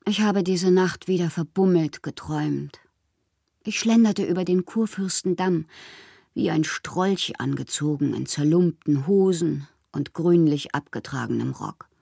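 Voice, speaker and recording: A woman's voice: mid-range voice, darkish tone, no echo, clean studio sound. An actress playing a whimsical, lovelorn, melancholy woman poet performing her letters in a radio drama, theatrical and given to whispering. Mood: wistful, dreamy. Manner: theatrical, swinging between breathy whispers and exclamations.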